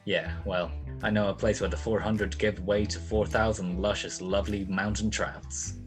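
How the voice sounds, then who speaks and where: Man acting a low-voiced, wry hard-boiled detective. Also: little pitch movement, moderate pace, music in the background, natural voice. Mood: wry narration. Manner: sardonic, wisecracking. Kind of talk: 1940s radio detective acting.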